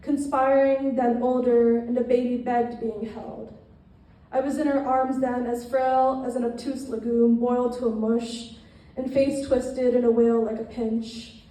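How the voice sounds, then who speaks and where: Woman poet, mellow tone, measured open mic recitation, recorded some way from the mic. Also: a little high, little pitch movement. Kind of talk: spoken-word poetry reading. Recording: very echoey room, distant mic.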